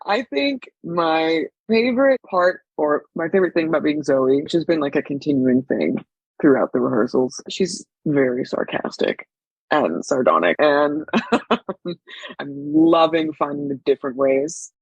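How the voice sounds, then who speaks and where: A man's voice: fairly high pitch, expressive, measured pace, vocal fry, breathy edge, dry recording. A man who acts on stage, telling casual anecdotes as an interview guest. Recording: dull, lo-fi dry sound.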